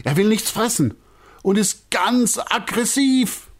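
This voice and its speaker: A man voicing a panicky male circus director in a storybook, with a high, strained, lamenting voice. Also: expressive, takes it slowly, full character voice. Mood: distraught. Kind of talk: storybook character voice.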